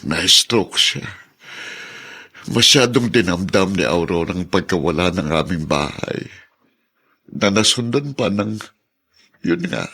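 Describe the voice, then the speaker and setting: Guy doing a poor older husband, kinda husky, stumbles over his words. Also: low-pitched, sharp tone, full character voice. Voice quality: husky, stammering. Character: poor older man, humble and grieving husband. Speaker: voice actor. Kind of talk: audio drama acting.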